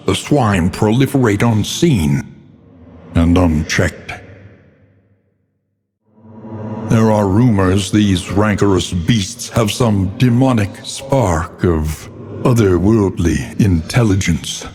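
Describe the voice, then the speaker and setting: A brooding, ominous narrator for a dark gothic video game. His voice is low and very slow, with theatrical gravity in his delivery. A long, cavernous reverb trails behind him. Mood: grim, foreboding. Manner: grave, ominous, theatrical, measured. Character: grim, brooding male narrator of a doomed world. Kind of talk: dramatic scripted gothic narration.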